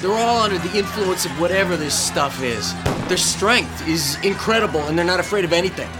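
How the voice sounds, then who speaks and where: An actor playing a tense police officer during a shootout in a radio drama. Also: male voice, high-pitched, lively intonation, fairly quick, loud music behind the voice. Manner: tense, alarmed. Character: alarmed male police officer.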